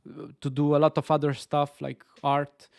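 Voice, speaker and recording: A man with a Romanian accent chats casually on a game-development stream. His voice is gravelly and dry, and he pauses often and restarts his sentences as he works through his points. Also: a little high, completely flat delivery, no room sound. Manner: hesitant, many fillers and restarts. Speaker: stream co-host. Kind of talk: casual chat. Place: Stockholm.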